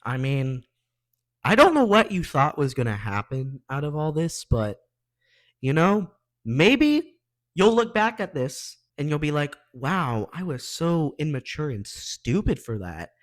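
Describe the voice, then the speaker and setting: High-pitched, animated man reacting casually. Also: a little slow. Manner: exasperated, opinionated, slangy. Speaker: reaction video host.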